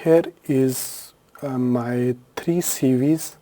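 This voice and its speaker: Man with a very dark, mellow voice. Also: medium pitch, extremely slow. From Pakistan. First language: Urdu. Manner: unscripted, frequent fillers and self-corrections. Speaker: tutorial host. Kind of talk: screen-share tutorial walkthrough.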